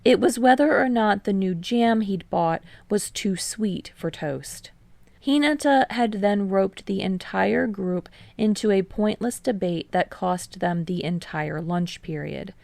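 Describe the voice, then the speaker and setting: A woman's voice: lowish voice, vocal fry, darkish tone, no echo. A woman reading a story aloud as scripted audiobook-style narration. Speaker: fan fiction reader.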